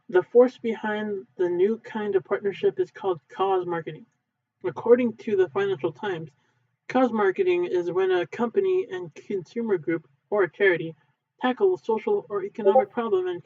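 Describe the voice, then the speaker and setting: A man reading a textbook aloud in a halting way, stumbling and correcting himself as he goes. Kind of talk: unedited read-aloud of a textbook. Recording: thin phone-like low-fidelity sound.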